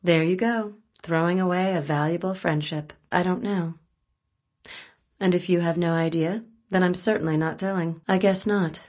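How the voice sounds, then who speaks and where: A woman's voice: on the low side, steady tone, moderate pace, a little mellow, lightly acted. An actress playing a cool, wry, self-possessed woman photojournalist and sniper in a video game. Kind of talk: video game voice acting, naturalistic dialogue.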